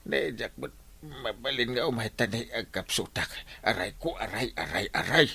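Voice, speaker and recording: A man's voice: normal speed, vocal fry, pushed and bright, husky, exaggerated voice. An actor playing a male village captain in a radio comedy, moaning and wailing with a stomach ache. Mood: groaning. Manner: wailing, moaning, voice leaping high. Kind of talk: radio comedy drama acting.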